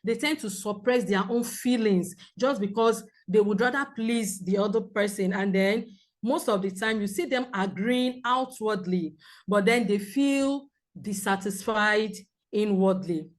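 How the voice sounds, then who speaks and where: A woman counsellor with a loud voice, teaching a group in a clear, measured way with examples. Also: medium pitch. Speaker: premarital counsellor. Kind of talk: teaching a seminar talk.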